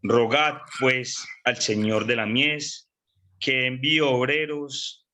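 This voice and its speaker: A man preaching a sermon, congested with a cold, speaking slowly and deliberately with repeated phrases and frequent throat clearing. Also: mid-range voice, fairly even intonation, sharp tone.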